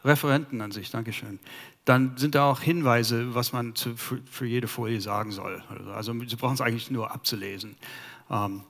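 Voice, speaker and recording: American man speaking halting German. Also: medium pitch, fairly even intonation, a bit bright. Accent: American English. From United States. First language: English. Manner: halting, searches for German words, many fillers. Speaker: conference speaker. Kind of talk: presenting to a conference audience. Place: large conference hall. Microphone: headset. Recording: long hall reverb.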